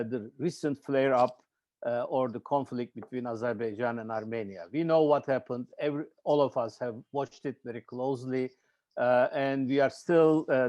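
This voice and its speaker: A man with a Turkish accent hosts an online panel. His voice sits at a middle pitch with a dry, unechoed sound. He speaks informally and haltingly, often hesitating and repeating words as he goes. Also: a little mellow, no room sound. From Turkey. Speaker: webinar host and moderator. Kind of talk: informal spoken introduction of a panel. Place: online video webinar.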